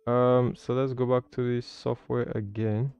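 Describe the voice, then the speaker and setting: Guy doing a chill livestream tutorial, smooth dark voice, kinda muffled mic, faint music. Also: mid-range voice, little pitch movement, measured pace, no echo. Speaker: livestream host learning air traffic control. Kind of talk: casual livestream commentary and tutorial.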